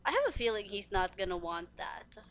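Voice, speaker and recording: A young woman giving casual, gushing, expressive reactions as she plays a video game. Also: medium pitch, brisk. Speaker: game streamer. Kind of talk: casual gaming reaction commentary. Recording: dull lo-fi mic. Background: faint game music.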